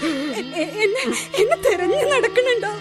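A woman's voice: very high-pitched, sing-song, a little slow, over music, full character voice. An actress playing a young bride who is crying and pleading for her missing husband in a radio play. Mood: sobbing. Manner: sobbing, pleading. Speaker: radio drama actor.

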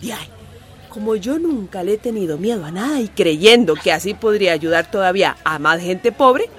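An actress playing a warm, plucky community woman with a Costa Rican accent, telling her life story chattily in a radio drama. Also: medium pitch, lively intonation, normal speed, a little hiss or hum, close to an everyday voice. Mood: matter-of-fact. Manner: chatty, folksy, emphatic.

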